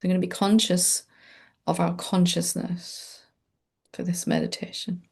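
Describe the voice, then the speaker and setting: A woman meditation teacher leading a gentle, unscripted guided meditation in a soothing, informal and reassuring way. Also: on the low side, little pitch movement, unhurried, vocal fry, husky.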